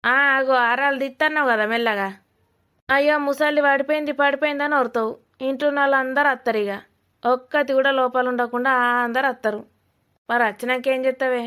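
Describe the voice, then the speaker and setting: A woman's voice: high-pitched, steady tone, brisk, pushed and bright. A woman voicing a suspicious, scolding old village woman in a cartoon comedy, with a Telangana accent, blunt and mocking. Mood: suspicious, sarcastic. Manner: blunt, mocking, rambling accusations.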